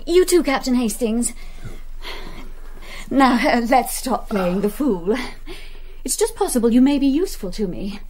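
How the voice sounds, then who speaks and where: A woman's voice: fairly high pitch, very animated, a little slow, a little mellow, slight background noise. An actress playing a spoiled, brazen young English society woman in a radio play, with a British accent and a cool, clipped, imperious manner. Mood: urgent, scheming.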